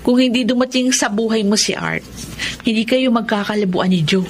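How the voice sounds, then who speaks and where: An actress playing a concerned, probing confidante in a radio drama. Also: female voice, fairly high pitch, a little fast, slight background noise, lightly acted. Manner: inquisitive, concerned. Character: probing female confidante questioning her friend. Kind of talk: Tagalog radio drama acting.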